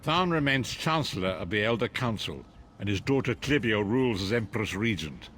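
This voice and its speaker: A man playing a grave, wise sage in a fantasy game, narrating in a solemn, measured, portentous way. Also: on the low side, animated, a bit bright, a little hiss or hum. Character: grave, wise male sage, freed former prisoner.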